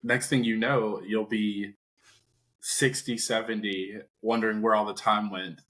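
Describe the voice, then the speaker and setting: A man hosts a podcast interview in an easygoing, joking way. His voice sits a little low, and his chat stays light and friendly. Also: level tone, a little slow, a bit bright, rough recording. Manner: upbeat, joking, casual. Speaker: podcast host.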